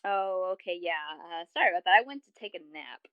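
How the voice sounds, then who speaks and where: A woman's voice: lowish voice, lively intonation, quick pace, no room sound. A woman co-hosting a podcast over an online voice call, casual, teasing and irreverent. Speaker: podcast co-host. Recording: dull, lo-fi voice-chat line.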